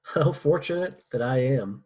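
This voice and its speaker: A man at a script table read playing a cynical, smug, ruthless mercenary villain with a husky, sardonic delivery. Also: mid-range voice, expressive, unhurried, a little mellow, a little hiss or hum, natural voice. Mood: impatient, commanding. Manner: sardonic, profane.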